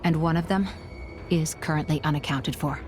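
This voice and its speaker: A woman's voice: deep voice, normal speed, music in the background, close to an everyday voice. A woman playing a grave, poised aide in a video game, speaking in a formal, serious way.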